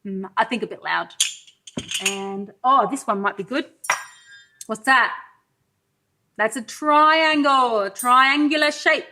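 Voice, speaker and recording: Lady doing storytime for preschoolers, high voice, unhurried, sing-song and chatty, bit of background noise. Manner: expressive, sing-song, questioning young listeners. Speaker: pre-school teacher reading a storybook aloud. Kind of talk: children's storybook reading with asides to young listeners.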